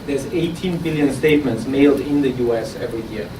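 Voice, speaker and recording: A man with an Austrian accent giving a live startup pitch to an audience, talking conversationally and often restarting his sentences. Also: brisk. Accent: Austrian German. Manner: conversational with frequent restarts and repeated words. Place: conference room at an innovation event. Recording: roomy, distant, noisy audience recording.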